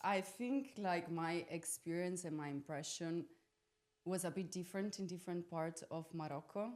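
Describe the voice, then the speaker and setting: A woman's voice: fairly low pitch, moderate pace, darkish tone, soft background music. A young woman from Italy talking casually and reflectively as a podcast guest, informal and often searching for her words. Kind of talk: casual personal reflection on living abroad. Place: podcast studio.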